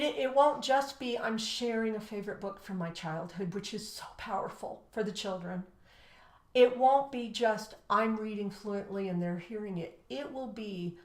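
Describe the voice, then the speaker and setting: A woman's voice: mid-range voice, a bit bright. An American woman, a teacher co-hosting an education show, telling classroom stories and giving advice emphatically, acting out dialogue. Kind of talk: animated storytelling and teaching advice. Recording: echoey room.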